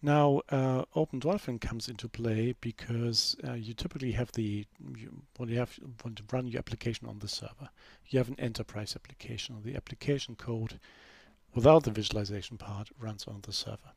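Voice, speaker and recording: A man narrating a screen-recorded software demo in a relaxed, unscripted way, full of fillers and restarts. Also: mid-range voice, brisk, creaky, breathy edge, dry recording. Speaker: software developer giving a demo.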